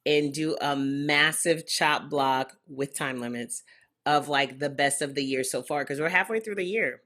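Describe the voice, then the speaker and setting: A woman's voice: sits low, a little slow, fairly bright tone. A young woman, Haitian American, co-hosting a podcast in casual, joking chat. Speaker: podcast co-host.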